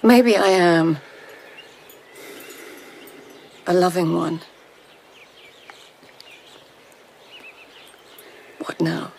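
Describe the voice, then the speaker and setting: An actress playing a proud, sharp-tongued lady of an imperial court in a radio drama, formal and haughty. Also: lowish voice, measured pace, gravelly, noisy background. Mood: subdued. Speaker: actor. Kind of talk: radio drama acting and narration.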